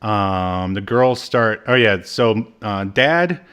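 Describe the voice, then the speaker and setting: A man's voice: fairly low pitch, expressive, slow pace, a bit bright, smooth. A man co-hosting a podcast, casually recapping a TV episode in a rambling way, with lots of ums and uhs. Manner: rambling, many ums and uhs, acts out characters in quotes. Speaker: podcast co-host.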